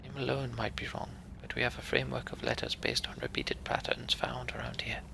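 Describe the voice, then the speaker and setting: A man voicing a calm, learned woman mender who speaks softly and measuredly. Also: mid-range voice, fairly quick, vocal fry, in a whisper. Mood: musing.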